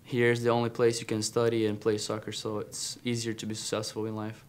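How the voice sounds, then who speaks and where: Flat, smooth-voiced Brazilian teen boy. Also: on the low side, fairly quick. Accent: Brazilian Portuguese. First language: Portuguese. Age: teens. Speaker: interviewed student. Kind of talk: short interview answer.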